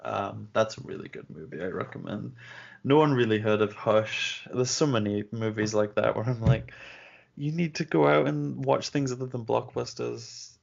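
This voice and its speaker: A man's voice: mid-range voice, normal speed, vocal fry. A young man co-hosting a podcast, chatting casually in a blunt, sardonic way. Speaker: podcast co-host. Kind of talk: casual chat. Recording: dull lo-fi sound.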